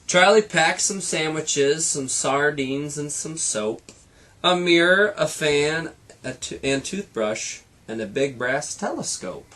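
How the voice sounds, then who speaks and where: A man reads a children's story in a bright, forceful voice. He takes his time over the rhythmic verse and delivers it with drama and playful asides, like a teacher reading to a class. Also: fairly high pitch, lively intonation, takes it slowly. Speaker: teacher reading aloud to students. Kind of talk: expressive picture-book read-aloud.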